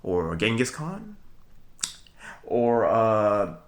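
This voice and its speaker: A man's voice: on the low side, sing-song, very slow. A young man from Brooklyn, talking to viewers in a rambling solo monologue, full of fillers, restarts and a repeated "okay?", with chuckles between points. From Brooklyn, New York. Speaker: vlogger.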